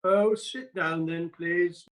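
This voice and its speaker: A man's voice: high-pitched, fairly even intonation, slow, a bit bright, rough recording. A man playing a long-suffering magistrate, polite but exasperated, keeping order in a comic courtroom play.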